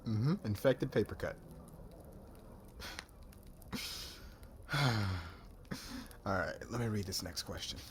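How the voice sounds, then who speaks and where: A man's voice: fairly low pitch, expressive, measured pace, slight background noise. A man playing a cocky young warrior suitor in an ASMR roleplay, with a slangy, brash, guarded way of talking. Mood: amused, casual.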